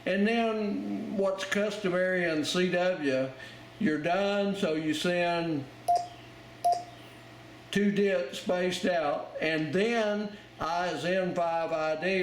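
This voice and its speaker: A man giving an informal ham radio lesson, talking in halting pieces as he explains a demonstration step by step. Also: high voice. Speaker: amateur radio instructor. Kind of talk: informal instructional narration over a demonstration. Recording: roomy, loud noise, radio clicks and tones.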